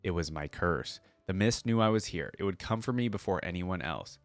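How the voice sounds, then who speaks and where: A man's voice: deep voice, lively intonation, moderate pace, no echo, faint music behind. A man reading story text aloud and reacting casually in between, as a video creator commenting on it.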